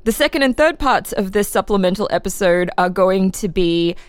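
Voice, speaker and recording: A woman's voice: medium pitch, animated, normal speed, dry recording. A woman podcast host giving an articulate, informal, conversational introduction.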